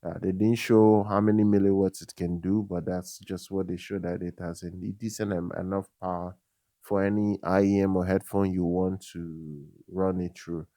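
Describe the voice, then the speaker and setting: Low-pitched, even-toned man reviewing gadgets. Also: dry recording, studio quality. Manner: halting, repeats words and phrases. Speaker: tech review channel host. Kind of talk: casual product news commentary.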